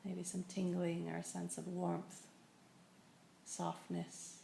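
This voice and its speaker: A woman teaching a guided meditation, speaking in a gentle, unhurried way with long pauses. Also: fairly low pitch, monotone, gravelly. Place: indoor room in a building. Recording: echoey room with faint noise.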